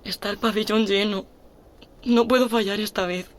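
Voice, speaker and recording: A woman's voice: medium pitch, steady tone, normal speed, close to an everyday voice. A woman playing an anxious young female athlete, breathless and near tears, afraid of failing, in an audio drama. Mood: fearful, confessing. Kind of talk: acted audio drama dialogue.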